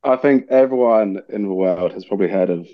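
A man's voice: lowish voice, brisk, no echo, narrow, tinny audio. A man from England whose accent has picked up some Australian twang, a chef chatting casually as a podcast guest and laughing easily. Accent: English with some Australian twang. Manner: relaxed, rambling, many fillers, laughs easily. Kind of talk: casual interview chat.

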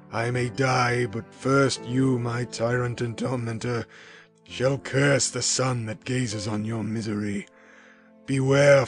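A man voicing a gravelly vengeful creature. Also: medium pitch, pitched above the performer's own voice, lightly acted. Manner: slow, heavy, threatening delivery. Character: vengeful lonely male creature, anguished and menacing. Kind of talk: dramatic audiobook reading. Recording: music bed underneath.

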